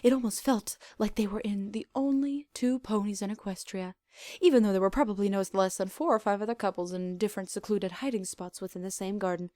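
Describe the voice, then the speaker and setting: A woman reading fan fiction aloud as an expressive scripted narration. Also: mid-range voice, a little fast, dry recording, clean studio sound.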